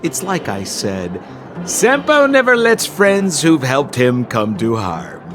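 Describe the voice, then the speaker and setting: A man's voice: mid-range voice, huge pitch swings, slow pace, music in the background. An actor playing a slippery, fawning young male hustler in a video game, with theatrical salesman patter. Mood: explaining, breezy. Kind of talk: video game cutscene voice acting.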